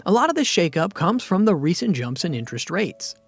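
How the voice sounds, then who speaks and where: A man reading a scripted finance explainer narration in an even, steady, explanatory way. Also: high-pitched, brisk, vocal fry, faint music behind.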